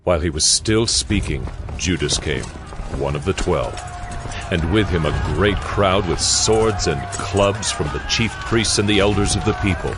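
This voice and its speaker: A man's voice: low voice, animated, measured pace. A man narrating a dramatized Bible reading in a scripted storytelling style. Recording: music bed under the voice. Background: music.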